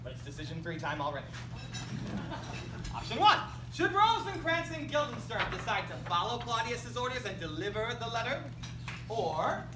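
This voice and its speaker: A woman's voice: fairly high pitch, big pitch swings, moderate pace. A woman narrating a comic school stage play from a script to a live audience, with wry, theatrical asides. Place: indoor live performance, distant microphone. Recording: echoey, noisy room recorded from far off.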